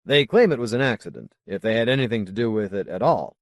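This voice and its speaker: A man voicing a solemn, zealous colony leader in an audiobook, speaking in a formal, deliberate, oratorical way. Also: on the low side, animated, dry recording, clean studio sound. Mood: grave, urging. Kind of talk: audiobook character dialogue.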